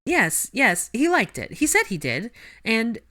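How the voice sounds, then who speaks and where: A woman voicing a flustered, defensive teenage witch with a high, breathless delivery. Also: huge pitch swings, a little slow, sharp tone, exaggerated voice.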